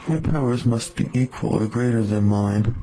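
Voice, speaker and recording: A man acts a powerful incubus from an audio drama. His voice is creaky and gravelly, his phrasing a little formal and flirtatious, with a protective streak. A faint noise sits behind him. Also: medium pitch, moderate pace, dark tone, lightly acted, rough recording. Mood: quiet, intimate. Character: male incubus dream demon, flirtatious, powerful, gently protective. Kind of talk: fan audio drama acting.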